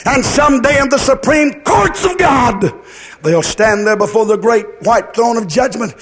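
A man's voice: extremely high pitch, expressive, moderate pace, a bit bright. A man preaching a fervent revivalist sermon in a declamatory, sing-song cadence, repeating phrases and putting rhetorical questions to his listeners.

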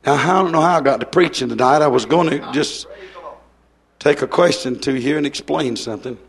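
A hoarse man preaching a fiery revival sermon, hollering and then dropping soft. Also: medium pitch, brisk. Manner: hollering, swings from loud to soft.

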